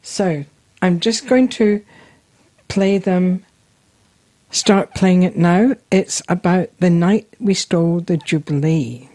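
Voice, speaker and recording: A woman's voice: fairly low pitch, slow, warm tone, no echo. A middle-aged woman radio host with an accent between Scottish and New Zealand, chatting casually through announcements with frequent "um"s. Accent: Scottish and New Zealand mix. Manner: chatty, friendly announcements with fillers.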